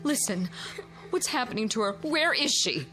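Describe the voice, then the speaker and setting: A woman's voice: a little high, lively intonation, normal speed, over music, natural voice. An actress playing a distraught mother in a radio drama, with an anguished, pleading delivery. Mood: panicked. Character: distraught mother searching for her missing daughter.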